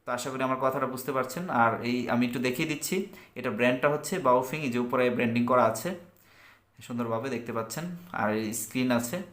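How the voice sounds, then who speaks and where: A man with a Bangladeshi accent gives an unscripted product review and sales pitch, halting and repeating himself as he searches for words. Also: fairly bright tone. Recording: echoey room.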